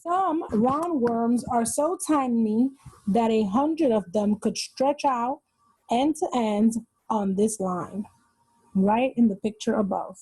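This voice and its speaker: A woman hosts a read-aloud for children in a husky, slightly high voice. She takes her time with each sentence, explaining and pointing things out. The sound is dry, with no room echo. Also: warm tone. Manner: explanatory, pausing to point out pictures. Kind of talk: reading a children's nonfiction book aloud.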